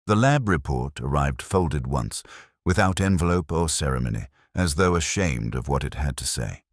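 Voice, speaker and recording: Very deep-voiced man narrating a mystery. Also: expressive, no room sound, clean studio sound. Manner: measured, literary, reflective delivery. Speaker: narrator of a detective story. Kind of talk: scripted first-person mystery narration.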